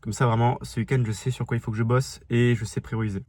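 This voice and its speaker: A man's voice: medium pitch, flat delivery, quick pace, darkish tone. A young man talking casually to his phone camera, rambling with many fillers and restarts, stuffy from a cold. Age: young adult. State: congested with a cold. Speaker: vlogger. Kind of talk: casual vlog diary. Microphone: phone held facing him.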